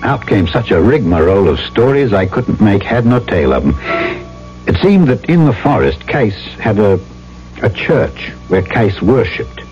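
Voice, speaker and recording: A man's voice: on the low side, very animated, a little slow, darkish tone. An actor playing a tough, plain-spoken island trader who narrates his own story in old-time radio drama style, folksy and self-assured. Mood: storytelling. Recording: muffled old radio recording with music behind.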